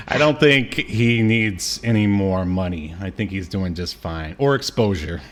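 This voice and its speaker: A man with a fairly low voice speaks casually as a podcast co-host. He feels his way through his thoughts, hesitating and rephrasing as he goes. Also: animated, takes it slowly, a little hiss or hum. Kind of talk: casual chat about cartoons.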